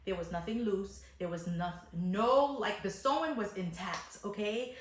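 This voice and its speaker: A woman telling a casual, animated story to viewers, retelling events dramatically as a hairstylist. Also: mid-range voice, unhurried, echoey room, a little hiss or hum. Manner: dramatic retelling, acting out dialogue.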